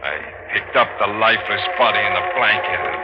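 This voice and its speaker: An actor playing a guilt-haunted, murderous sailor in an agitated, melodramatic 1940s radio thriller style. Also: male voice, lowish voice, fairly quick, loud music behind the voice, lightly acted. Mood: haunted narration. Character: frantic, guilt-haunted murderous sailor convinced a dead woman returned. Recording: noisy, dull 1940s radio recording.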